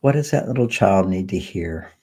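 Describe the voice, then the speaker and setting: Soft, gravelly-voiced man guiding a meditation. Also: low-pitched, animated. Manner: slow, soft, soothing delivery with long pauses. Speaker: meditation guide. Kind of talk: guided healing meditation.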